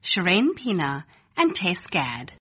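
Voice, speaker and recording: A woman reading a children's storybook aloud as a scripted narration, with deliberate, expressive phrasing. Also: lowish voice, slightly rough.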